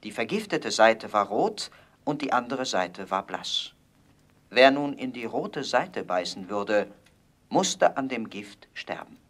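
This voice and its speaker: A man narrates a fairy tale in a scripted storybook audio play. His voice sits at an ordinary middle pitch, and he tells the story at a calm, measured pace.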